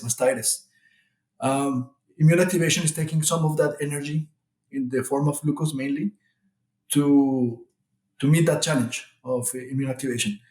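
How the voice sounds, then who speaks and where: A middle-aged man from Colombia, a researcher answering interview questions in a measured, explanatory way, often restarting his phrases. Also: medium pitch, a little mellow, echoey room, low-quality recording. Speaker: guest researcher.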